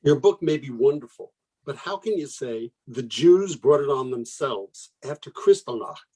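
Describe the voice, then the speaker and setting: A man playing an anguished, reproachful relative in a radio play, husky and argumentative, pleading intensely. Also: medium pitch, lively intonation, normal speed, a little mellow, low-quality recording. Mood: reproachful, tender.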